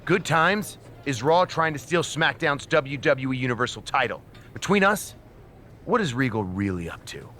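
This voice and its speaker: A man's voice: medium pitch, expressive, normal speed, bright, forceful tone, slight background noise. A man playing a smug, scheming wrestling manager in a video game, condescending, needling and theatrical. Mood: mocking. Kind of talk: scripted video game cutscene acting.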